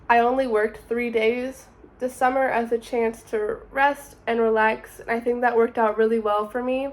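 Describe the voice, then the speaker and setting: Chatty young woman vlogging. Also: a little high. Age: 20s. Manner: chatty, upbeat, says "super" often. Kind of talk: casual vlog voice-over.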